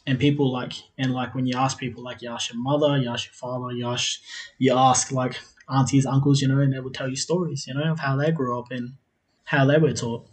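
A man's voice: medium pitch, level tone, fairly quick, low-quality recording. Rambling young Australian man. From South Australia. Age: 20s. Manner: rambling, repeats himself, many "like" fillers, laughs often. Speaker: podcast guest. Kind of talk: casual interview storytelling.

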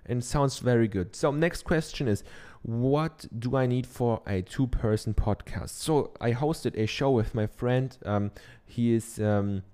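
German-accented man, dark smooth voice. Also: mid-range voice, measured pace, hardly any pauses. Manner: loose and chatty, many ums and tag questions. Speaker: podcaster. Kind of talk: casual how-to talk. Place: treated home office. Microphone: dynamic studio microphone.

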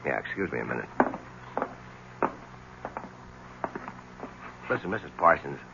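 An actor playing a pushy newspaper reporter in a 1970s radio drama, questioning briskly and persistently. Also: male voice, extremely low pitch, lively intonation, gravelly, exaggerated voice. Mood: persuasive. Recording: hissy, humming dull 1970s radio recording.

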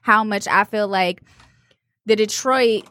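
A woman hosting a casual interview podcast, upbeat and chatty, firing off quick follow-up questions. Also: medium pitch, a bit bright, dry recording. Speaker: podcast host.

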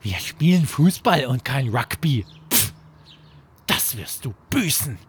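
A man's voice: medium pitch, very animated, slow, heavy background noise, pitched above the performer's own voice. A man voicing a cocky schoolboy bully and sore loser, taunting and grumbling in a children's bedtime audio story. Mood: threatening.

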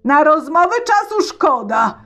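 A woman voicing a wrathful bearded old tsar, shouting and threatening in a raised voice. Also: high-pitched, very animated, measured pace, faint music behind, pitched above the performer's own voice, full character voice.

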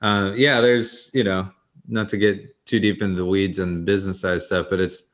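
Guy with a lowish voice, lots of uhs, casual podcast talk. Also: flat delivery. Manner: halting, with many "uh" fillers.

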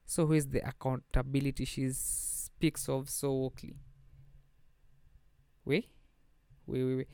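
Kenyan guy chatting on his podcast, smooth clean voice, dry no room sound. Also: medium pitch, measured pace, long pauses, no echo. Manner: mixes English and Swahili slang, chatty and animated. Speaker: podcast host. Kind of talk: casual solo podcast chat.

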